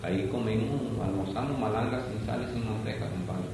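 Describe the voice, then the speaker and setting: An older man with a Cuban accent slowly recalling old times in an oral history interview, rambling with folksy asides. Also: fairly low pitch. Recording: echoey room from far off, noisy.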